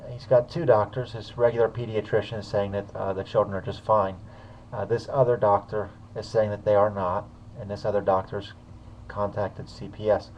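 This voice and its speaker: A man's voice: on the low side, little pitch movement, moderate pace, darkish tone, lo-fi sound, a little hiss or hum. A man casually commenting on a video he uploaded, talking hesitantly with many fillers.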